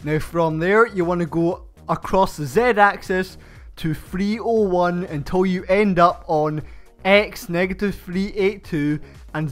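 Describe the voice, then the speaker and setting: High-voiced young man, stuffed-up and nasal. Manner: slow, methodical step-by-step instructions. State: stuffed-up nasal voice from hay fever. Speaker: channel host. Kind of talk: game build tutorial voice-over.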